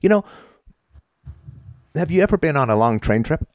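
A man's voice: a little high, sing-song, normal speed, no room sound, highs cut off. A man raised in Britain hosting a call-in advice show, talking at length and tagging his points with "right?". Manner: talkative, storytelling, frequent "right?" tags.

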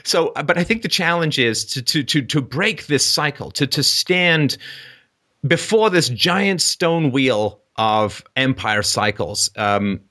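A man hosting a podcast, giving earnest conversational commentary in a deliberate, emphatic, explanatory way. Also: mid-range voice, expressive, bright, forceful tone. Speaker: podcast host.

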